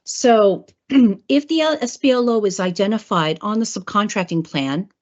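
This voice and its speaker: A woman with a hoarse voice from a cold, presenting a government training webinar, clearing her throat and using many fillers. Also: mid-range voice. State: hoarse, getting over a cold. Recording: muffled lo-fi webinar audio.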